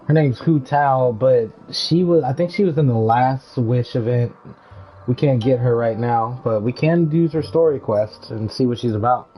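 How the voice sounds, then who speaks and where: A man's voice: medium pitch, normal speed, lo-fi sound, a little hiss or hum. A man streaming a game with casual, informal live commentary, repeating himself as he goes.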